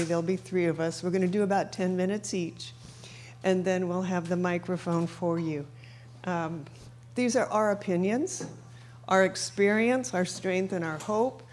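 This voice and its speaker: A woman opening a recovery workshop on a microphone in a meeting room, measured, with fillers. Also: medium pitch, darkish tone. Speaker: workshop organizer. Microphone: handheld microphone.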